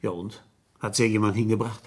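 An actor playing a politely insistent police inspector, asking hesitant, probing questions in a 1970s German radio crime drama. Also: male voice, fairly low pitch, steady tone, fast talker, close to an everyday voice.

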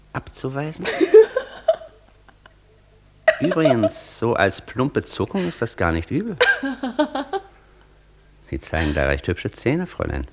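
An actor playing an imperious, easily irritated scholar in a German radio play, lecturing with pompous condescension. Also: male voice, mid-range voice, slight background noise. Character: imperious, vain old scholar Faust, easily irritated, dictating.

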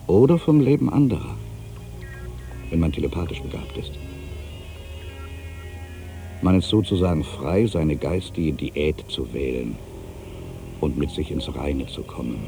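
A man playing an ageing, solitary writer who broods on his past in a deliberate, reflective literary monologue. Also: extremely low pitch, warm tone, exaggerated voice. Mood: brooding, resigned. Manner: brooding, deliberate, with pensive pauses. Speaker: monologue performer. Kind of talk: literary dramatic monologue, reflective first-person narration.

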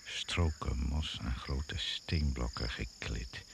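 Grave radio drama narrator, super low n creaky, brooding, really noisy recording. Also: male voice, slow, exaggerated voice. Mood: somber, monotone. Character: grave, brooding male narrator of a jungle trek.

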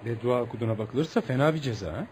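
Actor as fast-talking, kindly visiting writer. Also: male voice, mid-range voice, animated, a little mellow, lightly acted. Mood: curious, shocked. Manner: avuncular, inquisitive, reassuring. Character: kindly, curious male foreign writer, patient adviser. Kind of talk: Turkish radio theatre acting. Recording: background noise and ambience.

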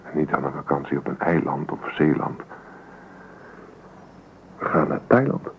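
Gruff young husband in a radio play, low voice, curt and sardonic, tinny old recording. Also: male voice, animated, normal speed, exaggerated voice. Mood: sardonic, stubborn. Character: stubborn, sardonic, self-centred young husband and father. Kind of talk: naturalistic radio drama acting. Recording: old radio play, phone-like muffled sound, noisy.

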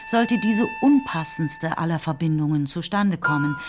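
A woman reading scripted literary narration for a radio play in a measured, composed way. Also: low voice, animated. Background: music.

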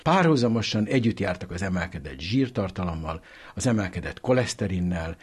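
Very husky low-voiced man explaining. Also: lively intonation, darkish tone. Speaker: medical expert guest. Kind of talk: explanatory interview answers.

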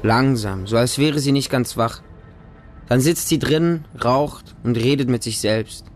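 A man's voice: medium pitch, a little slow, soft background music, natural voice. A man playing a lonely, naive small homeless boy who narrates his life in a radio drama in short, plain sentences. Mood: lonely, wary.

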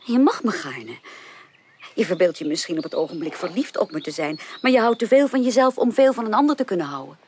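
Radio play: proud young society lady, lowish voice, formal and reproachful, over audible background noise. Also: very animated, brisk, darkish tone. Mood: firm, earnest. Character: proud, candid young upper-class woman rejecting a suitor. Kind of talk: 1980s Dutch radio drama acting.

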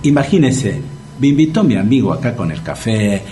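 An actor playing a naive, suggestible working-class café regular with a Buenos Aires accent, hesitant and repetitive, in a comic radio sketch. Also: male voice, fairly low pitch, big pitch swings, normal speed, warm tone, slight background noise. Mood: worried, self-pitying. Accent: Buenos Aires Argentine.

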